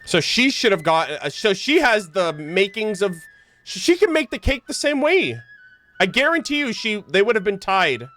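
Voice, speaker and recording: A woman's voice: medium pitch, big pitch swings, normal speed, a bit bright. A young woman giving casual, exclamatory and slangy live commentary as a game streamer on a close mic. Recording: game music underneath.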